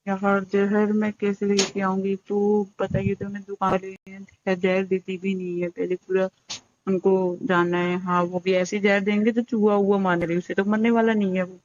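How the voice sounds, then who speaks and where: A woman's voice: medium pitch, level tone, moderate pace, a little mellow, no room sound. A woman talking bluntly on a private phone call. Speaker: caller in leaked phone recording. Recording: dull lo-fi phone audio.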